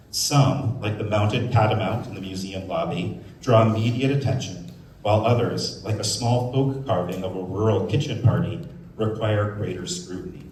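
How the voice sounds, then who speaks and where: A man with a fairly low voice delivers opening remarks at a museum. His delivery is that of a staff speaker addressing a gathering, informal to measured. The room is very echoey, the microphone sits at some distance, and there is faint noise. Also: darkish tone. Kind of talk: public speech, part off-the-cuff, part scripted. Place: indoors in a museum.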